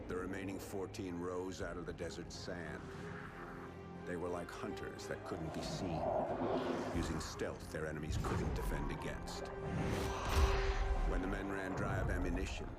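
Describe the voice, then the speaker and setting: An actor playing a grave military storyteller, narrating a dark legend for a video game in a solemn, deliberate way. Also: male voice, low-pitched. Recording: very loud music over voice.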